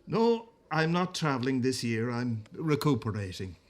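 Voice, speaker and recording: An actor playing a celebrated, convalescing Irish singer in a radio drama, genteel and earnest, with a hesitant, fussy delivery. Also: male voice, mid-range voice, sing-song, normal speed, close to an everyday voice. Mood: fretful, solemn.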